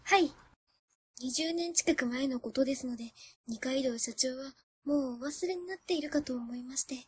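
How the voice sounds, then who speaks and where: A woman's voice: high voice, moderate pace, dry recording, lightly acted. An actress playing a shy young woman office worker in her twenties in a Japanese radio drama, meek, stammering and very polite. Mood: shy, flustered. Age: 20s.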